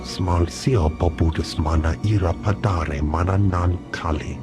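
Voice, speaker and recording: Low, slow alien underworld contact. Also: male voice, steady tone. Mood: solemn. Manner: slow, speaking an invented alien tongue. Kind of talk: video game voice acting. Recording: music playing underneath.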